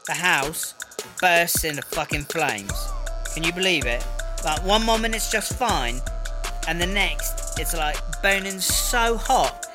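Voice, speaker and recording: A brash British inmate, played by a man rambles through slangy, sweary gossip in a fairly high voice. It is comedy audio drama, with music clearly playing behind the chatter. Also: expressive, slow pace. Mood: gossipy, incredulous.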